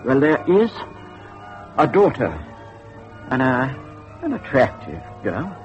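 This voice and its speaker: An actor playing a flustered, evasive Victorian university head, speaking formally and haltingly. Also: male voice, medium pitch, huge pitch swings, lo-fi sound, faint music behind. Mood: hesitant, reassuring. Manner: hesitant, faltering, formal. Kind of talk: period radio drama acting.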